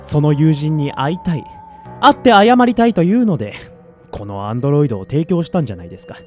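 A man plays a courteous but insistent company agent in a radio drama. His voice sits a little high and moves in lively swings of pitch, with music playing behind him. He stays businesslike and cool. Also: fast. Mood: polite, matter-of-fact. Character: coolly polite male collection agent from an android company. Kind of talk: Japanese audio drama acting.

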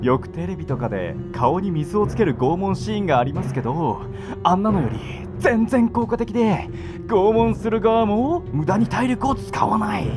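A man's voice: high voice, animated, normal speed, over music. A man acting a playful, mock-polite sadistic torturer in an amateur radio drama. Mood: gleeful, mocking. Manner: sing-song mock politeness with giggling.

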